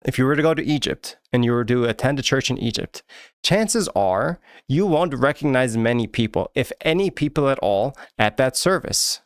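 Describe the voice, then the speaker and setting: A man's voice: mid-range voice, lively intonation, moderate pace, no room sound. An American man hosting his own channel, giving candid, earnest commentary with touches of dry humor. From United States. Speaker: YouTube channel host. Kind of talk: personal commentary to camera.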